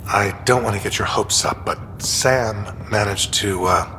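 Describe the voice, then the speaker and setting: A man's voice: on the low side, a little slow, bright, forceful tone. A man playing a careful, kindly ship doctor in a video game, with a hesitant way of explaining things. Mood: cautious. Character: cautious male ship doctor, weary but kindly. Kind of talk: dramatic video game acting. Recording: hall reverb, faint ambient noise.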